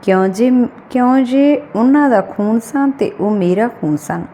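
Higher-voiced woman reading a story. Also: animated, unhurried, warm tone. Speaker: audiobook narrator. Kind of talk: scripted narration of a literary short story, read aloud.

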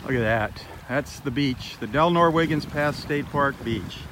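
Male outdoor vlog host, mid-pitched, easygoing and chatty, handheld phone audio with heavy water noise. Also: measured pace. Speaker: host of a live beach walk. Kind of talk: casual live nature vlog narration. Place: outdoors on a sandbar at a beach. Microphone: handheld phone camera.

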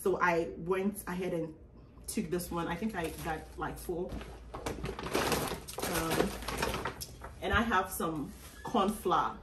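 A young Ghanaian woman chatting casually about her grocery haul, animated and full of um fillers, recorded at a table indoors. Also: lowish voice, slow pace, pauses often, echoey room, distant mic, very noisy. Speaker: vlogger. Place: small indoor room at a table.

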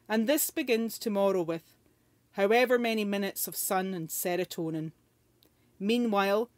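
A woman's voice: medium pitch, dry recording. A woman reading a poem aloud in a recitation style. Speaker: poetry reader. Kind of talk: poetry recitation.

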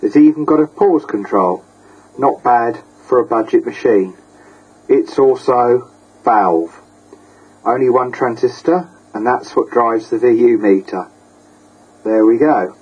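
A man giving a casual, plain-spoken and slightly halting hobbyist demonstration, presenting his own equipment. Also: medium pitch, darkish tone, highs cut off. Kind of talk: casual hobbyist demo narration. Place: indoors at a workbench. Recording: clear background noise, amateur camera audio.

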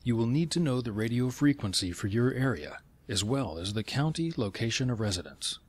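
Male instructional narrator with lively intonation. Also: medium pitch, fairly quick. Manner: clear step-by-step phrasing.

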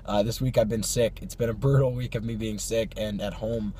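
A young man talks casually from a parked car, his voice hoarse from a cold. His tone sits low and mellow, full of fillers and small laughs. A faint hum of ambience sits behind him. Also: steady tone, brisk. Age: young adult. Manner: chatty, many "uh" fillers, laughs between phrases. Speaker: vlog host. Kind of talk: casual car vlog chat. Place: parked car at night, parking lot. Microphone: phone or camera on the dashboard.

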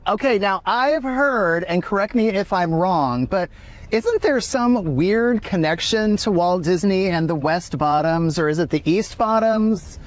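A man's voice: sits high, expressive, a little slow. A man in his forties co-hosting a travel show, teasing and theatrical in scripted banter. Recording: music under the voice.

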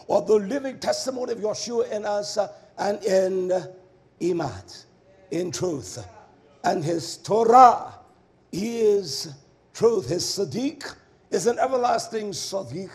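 A man's voice: high voice, a little mellow. A man delivering a fiery verse-by-verse sermon as a preacher, stern and combative, repeating key words for emphasis. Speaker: preacher and Torah teacher.

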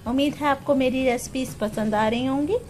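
A woman's voice: high-pitched, darkish tone, faint music behind. A woman hosting a home cooking channel, explaining each step of a recipe patiently in a plain, homely way, recorded on a phone in her kitchen. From Pakistan.

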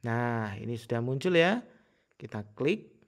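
Man with lively, varied pitch. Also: a little slow. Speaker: tutorial presenter. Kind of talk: casual step-by-step screen tutorial.

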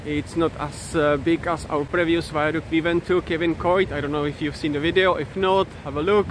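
A man in his thirties, not a native English speaker, hosting a casual walking vlog outdoors and talking into a phone held at arm's length, with many fillers and halting restarts. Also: fairly high pitch, steady tone. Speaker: vlogger. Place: outdoors in countryside by a river. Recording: outdoor wind and stream noise.

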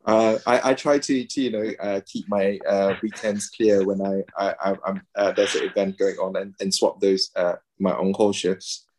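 A man in his thirties from Malaysia talks with a very low, deep voice. He pauses often and fills gaps with "uh" as he explains things on a video call from a room at home. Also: little pitch movement, darkish tone. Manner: hesitant, many fillers and restarts. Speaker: guest, pediatrician and game player. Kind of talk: casual video-call interview.

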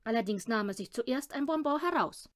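High-pitched, lively woman narrator. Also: a little fast. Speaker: audiobook reader. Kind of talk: expressive children's audiobook narration.

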